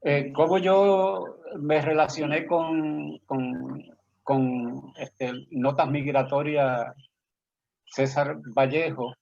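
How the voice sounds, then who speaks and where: A man's voice: a little high, steady tone, very slow, vocal fry, a little mellow. A man with a Puerto Rican accent, a poet and guest, speaking earnestly and persuasively in a conversational interview. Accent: Puerto Rican Spanish. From Puerto Rico. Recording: slightly dull lo-fi audio.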